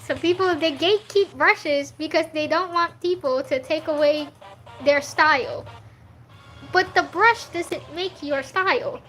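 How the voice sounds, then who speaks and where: High-pitched, unhurried streaming artist woman. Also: a bit bright, soft background music. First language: not English. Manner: chatty, answers chat questions, laughs often. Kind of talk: casual livestream chat while drawing.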